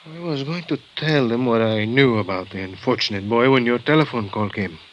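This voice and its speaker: Actor doing a grave Mexican doctor. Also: male voice, mid-range voice, lo-fi sound, lightly acted. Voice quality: measured, hesitant, halting. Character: middle-aged male Mexican hospital doctor, grave and formal. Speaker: actor in radio drama. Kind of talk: 1950s radio drama acting. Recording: old radio broadcast with faint hiss.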